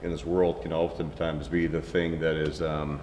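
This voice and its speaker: A middle-aged man in casual conversation, thoughtful and halting, with many pauses as he recalls his life. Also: a bass voice, level tone, a little mellow, a little hiss or hum. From New Orleans, raised near Philadelphia. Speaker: interviewee. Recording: echoey room with long reverb.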